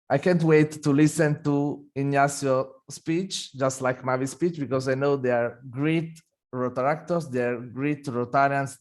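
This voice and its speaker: A man's voice: mid-range voice, a little mellow. A man hosting an online meeting, reading a formal welcome speech with a few restarts. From Italy. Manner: reads prepared remarks, occasional restarts. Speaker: event host.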